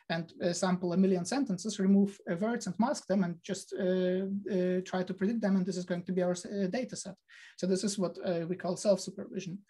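Man lecturing with many pauses and fillers. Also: high-pitched, dark tone. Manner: hesitant, many fillers and restarts. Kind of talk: online lecture with slides. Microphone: video call.

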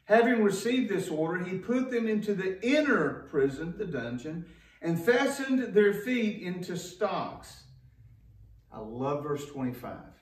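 A man's voice: high-pitched, very animated, unhurried. A man preaching a sermon as a pastor, in an emphatic, folksy way with rhetorical questions. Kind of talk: sermon to a congregation. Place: church sanctuary, indoors at a wooden pulpit. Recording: echoey room, distant microphone.

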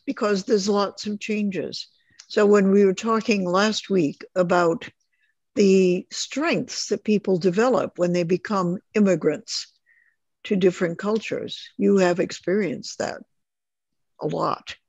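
Woman running a group chat, slow n husky, calm measured talk, dry muffled call audio. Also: medium pitch, no echo. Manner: measured, thoughtful. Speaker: group facilitator. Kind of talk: leading a group discussion. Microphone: video call.

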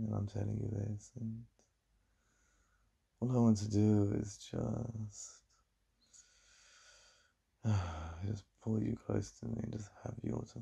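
A British man playing a besotted, yearning boyfriend in an intimate ASMR roleplay, confiding in a breathy murmur. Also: mellow, full character voice. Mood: desirous, intimate. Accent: British English. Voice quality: deep, breathy, gravelly.

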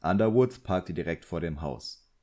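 A man's voice: deep voice, animated, normal speed, darkish tone. A man narrating a scripted story as an audiobook reader.

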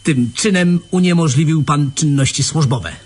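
A man acting in a radio play as a gruff police lieutenant, brusque and mocking as he questions a suspect. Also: a little high, normal speed, a little mellow, natural voice. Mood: sardonic, official. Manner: mocking, brusque, with scornful chuckles.

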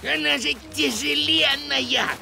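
A woman voicing a sly, grumbling cartoon porcupine thief with a gravelly, theatrical, wheedling delivery. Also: sits high, takes it slowly, exaggerated voice. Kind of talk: animated children's cartoon acting. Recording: music behind the voice.

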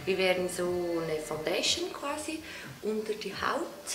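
A woman's voice: medium pitch, big pitch swings, measured pace, far from the mic. A woman in her forties, a beautician, earnestly explaining a skin treatment in her salon. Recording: roomy, from off the mic.

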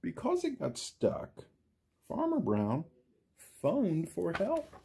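A man reading a children's picture book aloud, speaking directly to the children like a schoolteacher, with theatrical drawn-out words and dramatic pauses. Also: high-pitched, wildly swooping pitch, creaky. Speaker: storyteller, reader to young children.

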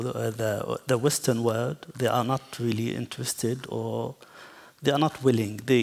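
A man from Palestine, an English speaker, speaking as a panelist in a discussion, measured and hesitant with many fillers. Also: mid-range voice, creaky. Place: stone-walled hall, seated on stage.

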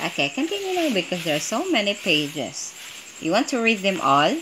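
A woman's voice: medium pitch, big pitch swings, moderate pace. A woman chatting casually with a young child, prompting and encouraging in an amused way, recorded on a phone in a bedroom. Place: small bedroom at home. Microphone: handheld phone. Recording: noisy home phone recording with insects.

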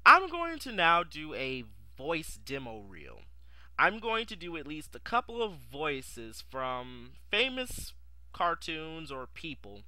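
A man casually presents his own voice reel. His voice is highish and very bright, with wide pitch swings and an unhurried pace. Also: wildly swooping pitch, dry recording. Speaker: amateur voice impressionist presenting a demo reel. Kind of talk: casual video introduction and sign-off.

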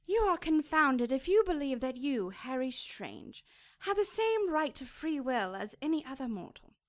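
A woman's voice: sits high, big pitch swings, moderate pace, no echo, clean studio sound. A woman playing a stern cherub in a radio drama, speaking with formal, pompous command. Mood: imperious. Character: stern, imperious cherub, heavenly messenger.

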